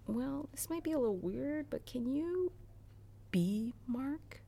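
A woman's voice: sits high, animated, slow pace, gravelly, dark tone, exaggerated voice. An actress playing an earnest, frustrated female government staffer with a hesitant manner in a satirical audio drama.

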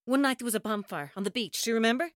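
A woman's voice: on the high side, very fast, no echo, studio quality, lightly acted. A woman playing a tormented, vengeful captor in a radio drama, with an intense, taunting, accusatory delivery. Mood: wounded, threatening.

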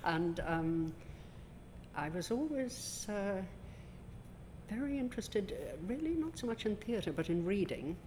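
An older woman, a guest speaking in a measured, reflective way with dry humor. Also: medium pitch, sing-song, mellow, in a large hall, slight background noise. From Ireland. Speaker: guest, drama educator. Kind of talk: conversational interview. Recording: noticeable room ambience.

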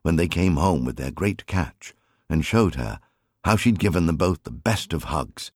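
A man reading a story as an audiobook narrator, in an expressive, measured storytelling manner. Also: deep voice, breathy edge, no echo, clean studio sound.

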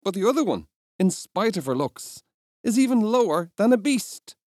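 An actor reading letters as an angry, exasperated composer, with emphatic, halting phrasing and sudden bursts. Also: mid-range voice, sing-song, a little mellow, no echo, studio quality. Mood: scornful, exasperated. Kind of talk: dramatic reading of historical letters.

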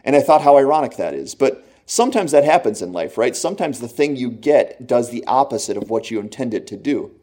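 Pastor, male, warm mellow tone, earnest and conversational, slows down for emphasis. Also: mid-range voice, big pitch swings. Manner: earnest, conversational preaching with slow emphatic phrases; laughs at own jokes. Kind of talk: sermon.

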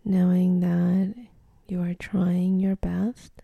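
A woman leading a guided meditation in a soft, breathy, often near-whispered voice, gentle and soothing, with long pauses between phrases. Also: on the low side, completely flat delivery, no echo, phone-quality sound.

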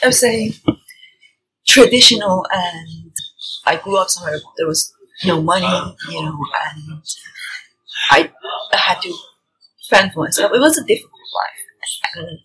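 A woman's voice: on the low side, animated, a little slow, long pauses, fairly bright tone. A woman from Iran in her thirties, a guest in an interview, speaking reflectively with many restarts and fillers in a restaurant. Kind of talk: interview conversation. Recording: noisy restaurant recording. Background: faint restaurant ambience.

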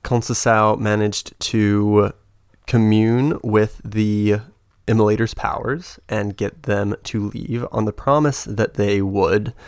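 A man narrating a tabletop game as game master, slow and hesitant with many fillers, getting over the flu. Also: fairly low pitch, fairly even intonation, smooth, no room sound, studio quality. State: recovering from flu.

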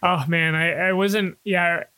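A young man co-hosting a podcast in casual chat, with a high-pitched voice and a loose, joking way of talking. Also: fairly even intonation, slow, pushed and bright, clean tone. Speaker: podcast co-host. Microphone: own home recording setup.

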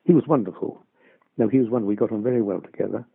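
An older actor reminiscing in an interview, hesitant with many restarts and chuckles. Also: male voice, fairly low pitch, racing, creaky, breathy edge. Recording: dry, tinny phone-like line.

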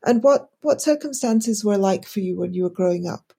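A woman's voice: mid-range voice, lively intonation, moderate pace, vocal fry, dark tone, slightly rough. A woman hosting a podcast interview, polite and inquisitive, on a video call. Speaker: podcast host.

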